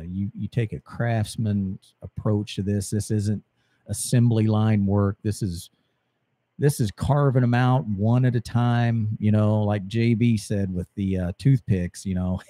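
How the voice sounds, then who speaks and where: Mellow low-voiced man hosting a radio show. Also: no echo. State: voice getting hoarse. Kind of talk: casual interview chat.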